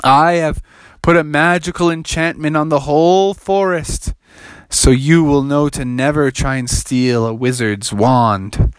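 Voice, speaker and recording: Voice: mid-range voice, unhurried, smooth, no room sound, close to an everyday voice. An adult voicing a stern but playful old male wizard in an improvised storybook tale, with a folksy way of talking. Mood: gloating. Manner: folksy, calls the hero "me boy".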